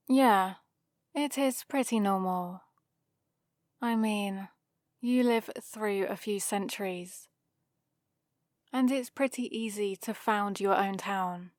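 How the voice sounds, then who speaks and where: A woman's voice: mid-range voice, a little mellow, no room sound, natural voice. A woman playing a haughty, sarcastic centuries-old vampire librarian in a scripted ASMR roleplay, with a dry, theatrical delivery. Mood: dismissive, sardonic.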